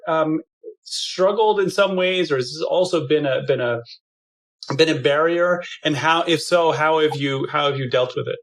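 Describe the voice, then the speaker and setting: A man's voice: on the high side, normal speed. A man hosting a podcast, asking questions in an enthusiastic, chatty way through a studio microphone. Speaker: podcast host. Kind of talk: interview questions, casual. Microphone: studio mic through processor.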